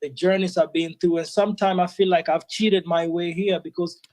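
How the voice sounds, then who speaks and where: A fairly high-voiced man talks in an earnest, reflective way, filling his sentences with "you know". The sound is dry and close, with no room around him. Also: steady tone, brisk, a little mellow, dry recording. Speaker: interview guest, sound system selector. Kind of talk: casual interview.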